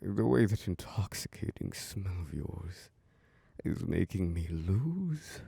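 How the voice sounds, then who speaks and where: A hungry, predatory vampire lord, as a man plays him for an ASMR roleplay, his voice very deep and raspy with a gravelly edge. He draws every word out at an extremely slow pace, sinking into close, dry whispers right at the microphone. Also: big pitch swings, no room sound, heavily acted. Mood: hungry, menacing. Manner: slow, hushed, drifting into whispers. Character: obsessive, predatory male vampire lord, charming turning dominant.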